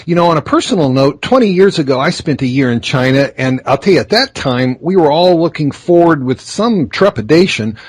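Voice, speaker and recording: A man's voice: fairly high pitch, animated, fairly quick, few pauses, husky, dry recording. Man hosting a podcast. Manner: friendly, conversational, scripted intro. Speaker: podcast host. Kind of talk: interview hosting.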